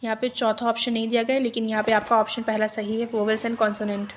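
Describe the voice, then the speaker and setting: A woman talks through exam questions like a teacher, reading each one out slowly before she explains it. Her voice sits a little high and barely moves in pitch. A faint hiss of noise runs under her speech. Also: flat delivery. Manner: reads English questions slowly, then explains in Hindi. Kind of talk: exam-prep lesson reading out multiple-choice questions.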